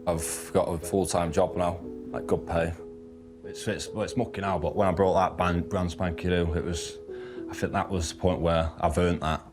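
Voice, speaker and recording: A man gives a casual personal account. His tone stays quite flat, and music plays clearly behind him. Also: deep voice, moderate pace. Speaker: former homeless client of a charity.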